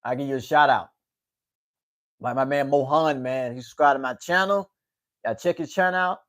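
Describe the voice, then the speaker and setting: Young man, casual livestream chat, rambling, repeats himself, mid-pitched voice. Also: normal speed, fairly bright tone. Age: 20s. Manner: rambling, repeats words, says "man" a lot. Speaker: livestream host.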